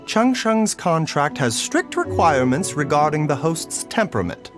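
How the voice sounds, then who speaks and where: A man voicing a gentle, composed healer and pharmacist in a video game, speaking politely and formally. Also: on the high side, very animated, normal speed. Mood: thoughtful. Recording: game music behind the voice.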